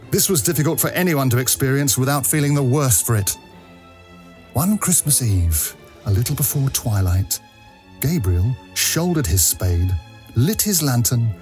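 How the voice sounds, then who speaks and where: A man narrates an audio drama in a dramatic storytelling style. His voice sits at an average pitch, with some music behind it. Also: a little mellow.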